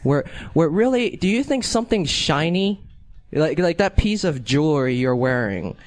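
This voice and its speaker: A man's voice: on the high side, sing-song. A man from Hong Kong, an audience member, making a long earnest comment with many restarts. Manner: halting, repeats words, earnest. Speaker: audience member asking a question. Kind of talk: rambling call-in style comment.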